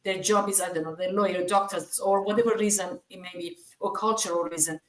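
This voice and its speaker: A woman's voice: medium pitch, fairly even intonation, brisk. Woman, Persian accent, second-language English, reassuring group-chat speaker, echoey room. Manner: reassuring, hesitant with many fillers. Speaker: team member sharing experience.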